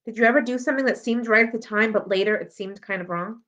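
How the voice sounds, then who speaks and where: A woman reading the part of a troubled, guarded young schoolgirl in a storybook, earnest and halting. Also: a little high, fairly bright tone, natural voice. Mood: anxious, confessing. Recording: dull lo-fi audio.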